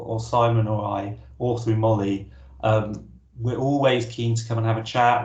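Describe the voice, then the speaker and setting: A man's voice: medium pitch, darkish tone, dull audio. A man presenting an online workshop, answering questions casually with frequent restarts. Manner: casual, hesitant, many restarts. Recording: roomy echo.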